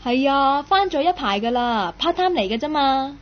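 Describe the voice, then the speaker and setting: A friendly, inquisitive young woman in a Cantonese radio drama. Her voice sits high, with a Hong Kong accent, and the recording is slightly dull and lo-fi. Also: expressive, normal speed. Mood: polite, casual. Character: curious, friendly young woman, part-time worker, nicknamed Meow. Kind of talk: radio drama acting with first-person narration.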